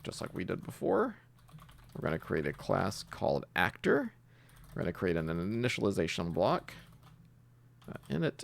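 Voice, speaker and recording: A man with a very low voice and plenty of vocal fry talks through a coding tutorial in a casual way. His intonation moves around a lot as he thinks aloud, explaining each step while he works. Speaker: programming tutorial host.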